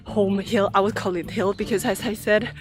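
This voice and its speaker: A woman's voice: mid-range voice, steady tone, normal speed, music in the background. A woman from Austria with an Austrian German accent, chatting outdoors as she runs trails and guides a tour. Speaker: runner guiding a trail tour. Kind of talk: casual walk-and-talk to camera. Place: outdoors, forest trails and park.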